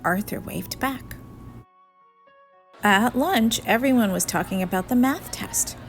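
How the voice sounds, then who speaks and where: A woman narrating a children's storybook in an expressive, scripted read-aloud style. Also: fairly high pitch, takes it slowly. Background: music.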